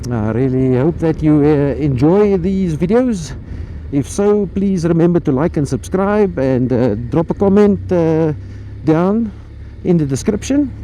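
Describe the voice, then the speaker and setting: A man's voice: a little high, animated, a little slow, dark tone. A South African man chatting casually and rambling as he rides his motorbike through town, recorded on a helmet mic outdoors. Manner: rambling, chatty, with fillers and playful rhymes. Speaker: motovlogger. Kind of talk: casual motovlog chat while riding. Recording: noisy ride with road and wind noise.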